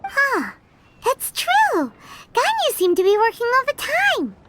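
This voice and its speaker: Squeaky, very high childlike sprite companion. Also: female voice, huge pitch swings, unhurried, background noise, heavily acted. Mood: curious. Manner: exclamatory, perky. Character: tiny chirpy childlike floating companion, excitable and nosy. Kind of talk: video game voice acting.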